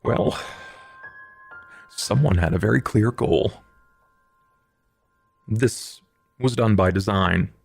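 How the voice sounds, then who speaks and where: A man plays a coolly analytical vampire sleuth for a tabletop game. The voice is very low and creaky, picking out elegant, formal words with measured pauses. Music sits underneath. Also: dry recording, heavily acted. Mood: grave, analytical. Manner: measured, halting, ornate formal wording. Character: Duke, formal, erudite male vampire investigator, coolly analytical. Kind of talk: tabletop roleplay in character.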